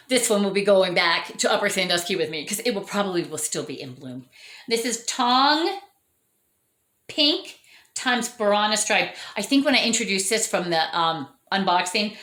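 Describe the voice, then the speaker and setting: A chatty, enthusiastic woman talks through her orchids with a lively, swooping voice, often correcting herself as she goes. Her voice is fraying from overuse. She's recorded indoors in an echoey room at home. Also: medium pitch. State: losing her voice. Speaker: orchid grower showing her plants. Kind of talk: casual show-and-tell vlog.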